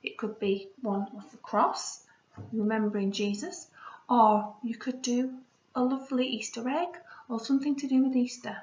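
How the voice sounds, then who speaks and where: A woman primary school teacher talking to young children in an encouraging, expressive storytelling style. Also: fairly high pitch, moderate pace. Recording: roomy, slightly dull home recording.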